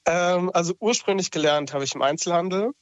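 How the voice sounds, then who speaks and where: A man in his late twenties calling in from home, talking casually and haltingly with many filler sounds. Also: high voice, dry recording, highs cut off. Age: 20s. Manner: hesitant, lots of filler sounds. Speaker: caller. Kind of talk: casual call-in chat. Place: at home on a couch.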